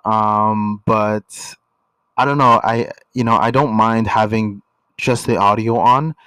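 A young man from Canada co-hosting a casual couple podcast, relaxed and full of filler words. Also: fairly low pitch, takes it slowly, clean tone, dry recording, poor audio. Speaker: podcast co-host.

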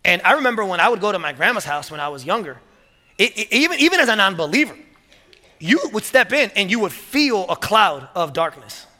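A male pastor preaching with energy, his voice high and bright as he presses each point and repeats key words. His sermon rings out with a long reverb in a large hall. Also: brisk. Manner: energetic, repeats words, rising to emphatic peaks.

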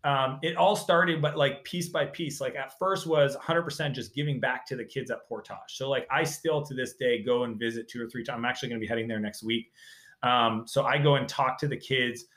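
Male guest, bright and forceful tone, emphatic storyteller, roomy sound. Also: medium pitch, fairly even intonation, brisk. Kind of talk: casual interview talk.

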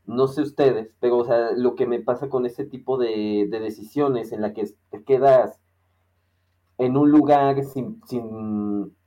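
A man's voice: medium pitch, warm tone, dull audio. A man with a Mexican accent chatting casually as a podcast co-host, rambling and searching for his words. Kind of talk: casual conversation.

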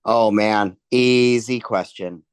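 A man's voice: fairly low pitch, slow pace, clean tone, dry recording. A man chatting casually in an interview as a touring musician, with slangy, informal phrasing. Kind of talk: casual chat.

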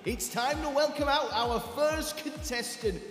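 A man playing a showy, excitable ant presenter hosting a talent show in an audio drama. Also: very high-pitched, measured pace, slight background noise. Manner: showy announcer. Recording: echoey hall, music behind.

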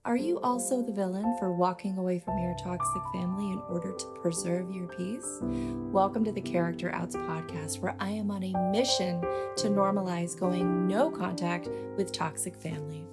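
A woman gives a scripted podcast opening with a bold, blunt delivery. Her voice is a little low. Loud plucked guitar music plays right under her. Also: normal speed. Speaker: podcast intro announcer. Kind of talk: scripted show intro.

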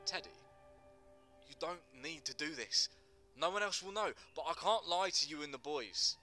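A man's voice: fairly high pitch, lively intonation, moderate pace, sharp tone, soft background music, pitched above the performer's own voice. A man acting an earnest gangster who explains things with emphatic passion in a dramatic screenplay reading. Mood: pleading. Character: earnest Teddy Boy gangster, passionate non-binary teacher.

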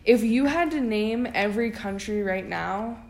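A woman's voice: mid-range voice, measured pace, echoey room. A young woman co-hosting a casual podcast, chatting loosely with lots of fillers. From United States. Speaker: podcast co-host. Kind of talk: casual chat.

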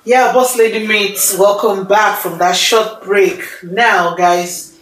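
A woman from Nigeria with a Nigerian accent, hosting a casual panel chat, chatty and quick to ask questions. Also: medium pitch, fairly even intonation, a bit bright. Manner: chatty, laughing, asks questions. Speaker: host. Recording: echoey room with faint noise.

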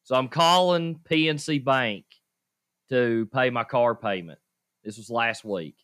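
A man's voice: medium pitch, unhurried, a bit bright, clear, resonant tone. A man co-hosts a podcast, his voice sitting in an ordinary middle range. He tells self-deprecating stories and laughs often as he talks. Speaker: podcast co-host. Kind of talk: casual podcast storytelling.

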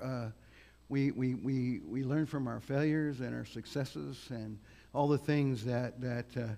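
A man with a big voice preaches at a church. He talks loosely, with many fillers and restarts. His voice has a gravelly edge, and the hall rings with long reverb. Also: mid-range voice, a little mellow. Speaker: guest preacher. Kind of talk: sermon. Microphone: microphone on a sound system.

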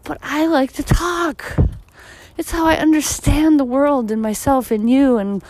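A tired woman in her thirties, hosting her own podcast, reflecting in a rambling, casual way while walking outdoors on a street, her voice a little deeper and husky. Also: steady tone, a little slow, darkish tone, dry recording, rough recording. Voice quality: slightly deep, husky from tiredness. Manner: reflective, rambling, many fillers. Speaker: podcast host. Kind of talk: casual solo podcast monologue.

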